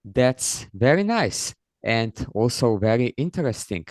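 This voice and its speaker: A man's voice: mid-range voice, very animated, unhurried, a little mellow, no echo, clean studio sound. A man hosting a podcast who opens with an intro and then asks interview questions in long, structured sentences. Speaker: podcast host.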